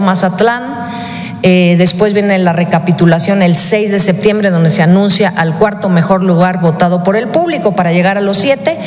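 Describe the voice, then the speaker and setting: A woman, presenting announcements at a press briefing in a measured, formal way. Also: fairly low pitch. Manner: measured, reads names and dates. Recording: echoey hall.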